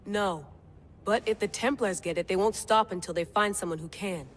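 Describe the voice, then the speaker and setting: A woman's voice: medium pitch, normal speed, natural voice. An actress playing an intense, sardonic woman researcher who pushes on with her work despite warnings. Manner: driven, intense, wry. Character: driven, wry woman scientist obsessed with an artifact. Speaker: researcher and cell leader. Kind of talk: game cutscene acting. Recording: long-reverb room with faint noise.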